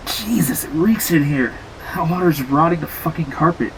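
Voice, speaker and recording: A man's voice: on the high side, animated, normal speed, a little hiss or hum, low-quality recording. An actor playing a cocky, reckless young man in an audio drama, brash, teasing and slangy. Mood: mocking, amused.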